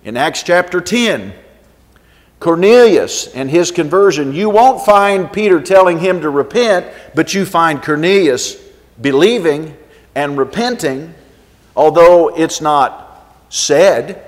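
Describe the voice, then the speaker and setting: A male preacher with a fairly high-pitched voice delivers an expository sermon. He teaches deliberately and emphatically, moving between scripture readings and rhetorical questions. His voice carries long reverb from a large hall. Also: expressive.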